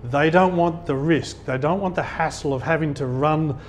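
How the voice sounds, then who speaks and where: A man's voice: a little high, moderate pace, slight background noise. Man, easygoing anecdotal speaker. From Australia. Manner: conversational, anecdotal, chuckles at his own stories. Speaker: guest speaker at a business networking event. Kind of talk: informal talk to an audience. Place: function hall.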